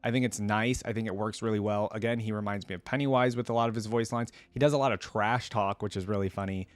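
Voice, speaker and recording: A man's voice: lowish voice, fairly even intonation, fast, no echo. A man hosting a reaction video, giving casual, unscripted commentary to viewers in a rambling, conversational way.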